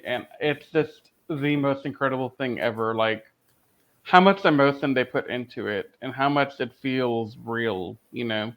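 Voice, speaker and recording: A man co-hosting a podcast, talking casually in a fan discussion. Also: mid-range voice. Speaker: podcast co-host. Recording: dull lo-fi audio.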